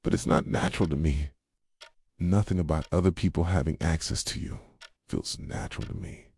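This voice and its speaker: A man playing a jealous, possessive yandere boyfriend in an intimate ASMR roleplay, speaking softly and tenderly and slipping into whispers. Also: moderate pace, creaky, slightly rough, dry recording, studio quality, full character voice. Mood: possessive, brooding. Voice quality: soft, low, slipping into whispers. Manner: tender, brooding, confessional.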